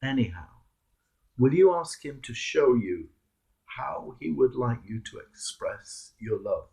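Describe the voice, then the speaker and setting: A British man giving a reflective sermon, telling stories and posing earnest, measured questions to his listeners. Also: mid-range voice, animated, gravelly, darkish tone. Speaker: clergyman giving a Holy Week reflection.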